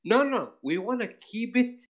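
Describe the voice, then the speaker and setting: A man's voice: very high voice, expressive. A man whose first language is Arabic, talking casually on a live stream and often stopping to find his words. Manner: halting, repeats words while searching for phrasing. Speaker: guest player.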